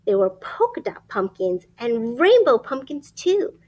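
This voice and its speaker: A woman reading a picture book aloud to young children, expressive and emphatic, pausing to ask questions and point things out. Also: a little high, husky, low-quality recording. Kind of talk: animated children's read-aloud.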